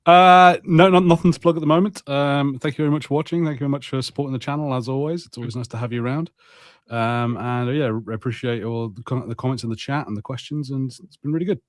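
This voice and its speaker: A man co-hosting a film podcast, chatting casually and telling things in an animated way with mock impressions. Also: mid-range voice, fast, no echo, clean studio sound. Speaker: podcast co-host. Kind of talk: casual banter on a film watch-party podcast.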